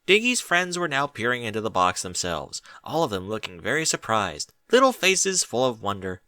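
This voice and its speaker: Guy reading fiction aloud, expressive narrator, bright punchy voice, no room sound. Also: mid-range voice, normal speed, no room sound, studio quality. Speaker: audiobook narrator. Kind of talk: scripted story narration.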